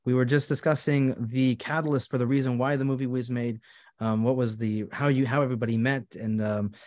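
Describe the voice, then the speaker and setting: A man's voice: mid-range voice, fairly even intonation, fairly quick, a little mellow. Man moderating a film Q&A. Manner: welcoming, repeats phrases. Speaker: moderator, rabbi.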